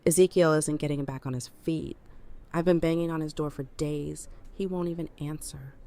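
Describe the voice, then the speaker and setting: A woman's voice: deep voice, steady tone, moderate pace, mellow. A woman playing a resolute, protective fighter in an audio drama, speaking in a firm, terse, matter-of-fact way. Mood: frustrated resolve.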